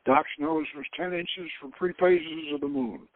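A man's voice: on the high side, a little slow, no echo. A man giving a dramatic narration of an adventure novel as host and author, now and then stumbling and rereading a word. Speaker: host reading his own novel. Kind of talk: dramatic audiobook-style narration. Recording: narrow phone-like line.

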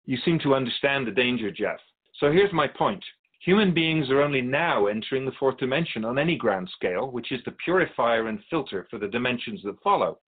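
Male actor as ancient spirit guide, gently didactic, measured phrasing, expressive pitch swings, philosophical radio drama. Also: phone-quality sound, lightly acted. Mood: firm, explaining. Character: otherworldly spirit keeper of souls, calm, wise, gently instructive. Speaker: interviewed guest in a radio drama.

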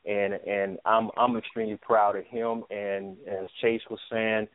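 A man answering interview questions as a theater producer, speaking haltingly and often repeating his words. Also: fairly low pitch, flat delivery. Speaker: stage play writer and producer. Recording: thin phone-line sound.

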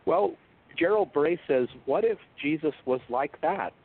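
A man speaking as a guest theologian in an interview, explaining in a measured, thoughtful, scholarly way over a thin phone-like line. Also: a little high, lively intonation. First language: English.

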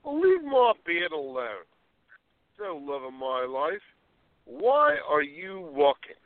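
An actor playing a playful, teasing schoolteacher with a droll, theatrical manner in a radio play. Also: male voice, on the high side, wildly swooping pitch, slow, no room sound, exaggerated voice. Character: playful bearded schoolteacher, a woman's pretend boyfriend. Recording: narrow phone-like sound.

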